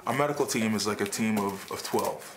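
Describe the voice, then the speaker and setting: Measured, sincere man, lowish voice. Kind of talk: interview and speech.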